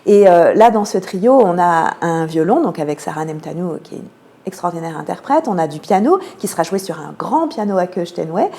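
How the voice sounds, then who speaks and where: A woman with a French accent from France giving measured, explanatory answers as a radio interview guest, pausing often to find her words. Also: lowish voice, animated, dark tone. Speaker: theatre programme manager, interview guest.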